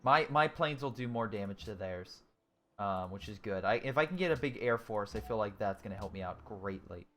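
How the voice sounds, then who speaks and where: A man's voice: lowish voice, lively intonation, normal speed, fairly bright tone, a little hiss or hum. Young man musing over a strategy game. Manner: thinking aloud, frequent ums and self-corrections. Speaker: gaming YouTuber. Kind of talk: casual let's-play commentary, reading chat.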